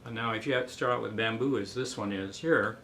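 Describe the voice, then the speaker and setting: A man, an instrument maker, explaining his craft haltingly with many ums and uhs. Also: medium pitch, a little hiss or hum. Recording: loud music under the voice.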